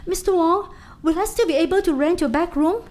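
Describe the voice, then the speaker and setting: An actress playing a meek, earnest young seamstress in a radio play, polite and pleading. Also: female voice, very high-pitched, fairly quick, a little mellow. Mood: anxious, pleading.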